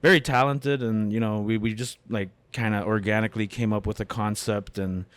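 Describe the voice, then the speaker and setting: A man's voice: fairly low pitch, steady tone, fairly quick. A middle-aged man from Canada, a comedian and beatmaker, chatting as a guest in a cafe with many fillers and restarts. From Canada, born in Winnipeg. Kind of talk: casual interview conversation.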